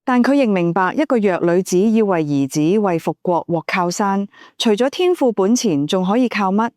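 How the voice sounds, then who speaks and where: A woman's voice: mid-range voice, lively intonation, moderate pace, a little mellow, dry recording. Female narrator, dramatic novel reading, swooping intonation, close dry sound. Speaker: audiobook narrator.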